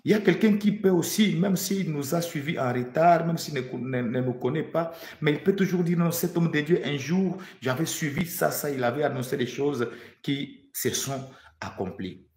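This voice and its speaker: A man's voice: fairly high pitch, moderate pace, darkish tone, roomy sound. A man from the Democratic Republic of the Congo preaching a prophetic sermon, fervent, repeating phrases for emphasis and stammering when he restarts.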